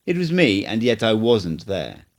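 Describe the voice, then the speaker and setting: A patient old sorcerer explains the nature of things in an unhurried, instructive tone, as a man reading an audiobook puts him on. The voice sits on the low side, and the delivery stays measured and cryptic. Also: big pitch swings. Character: old male sorcerer teacher, calm, cryptic.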